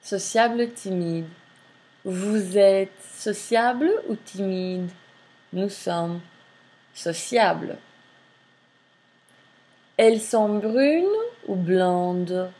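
A woman's voice: medium pitch, warm tone. A woman French teacher, reading textbook lines aloud and explaining them in English during a screen-recorded lesson. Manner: reads French lines then translates, slow and deliberate.

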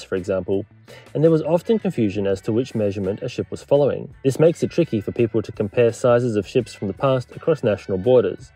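A man narrates a scripted explainer in a measured, lightly wry way, like a historian giving a lecture. His voice is warm and mellow, with a slightly husky edge. Soft music plays underneath. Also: mid-range voice.

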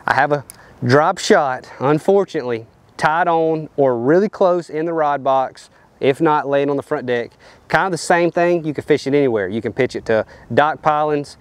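Male angler in his thirties, slightly high voice, dry close lapel sound, outdoors, easygoing teaching tone. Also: normal speed, no echo. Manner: loose, rambling, lots of fillers like "you know" and "kinda". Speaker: professional bass angler. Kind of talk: casual fishing tips to camera. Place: outdoors on a riverbank and boat deck. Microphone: lapel mic.

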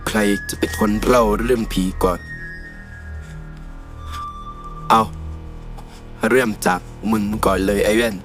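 A man voicing a bossy, scornful schoolboy ringleader in a cartoon, speaking haltingly in rude, casual slang. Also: fairly low pitch, steady tone, lightly acted. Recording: music behind the voice. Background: clear background music.

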